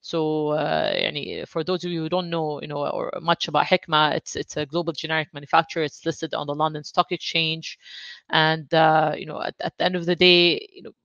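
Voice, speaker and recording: A woman from Jordan, a venture fund director, answering interview questions about her career in an even, level way. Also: low-pitched, brisk, creaky, no echo, highs cut off.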